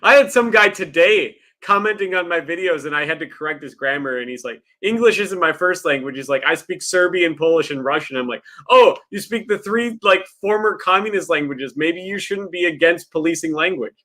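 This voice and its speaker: Bright opinionated man, casual swearing. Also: fairly high pitch, lively intonation. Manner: swearing, opinionated, quick to laugh. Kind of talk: casual livestream chat.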